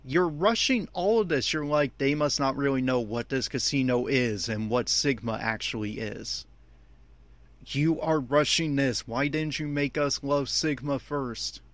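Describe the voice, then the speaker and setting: A man's voice: mid-range voice, a little slow, fairly bright tone, dry recording. A man giving off-the-cuff, opinionated audio commentary on an anime episode, speaking emphatically.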